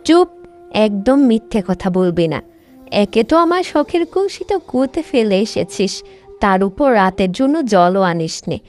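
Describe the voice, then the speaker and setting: A woman voicing a fretful, sharp-tongued village mother in a cartoon, complaining emphatically. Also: medium pitch, big pitch swings, normal speed, soft background music, close to an everyday voice. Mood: angry scolding. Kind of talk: cartoon voice acting.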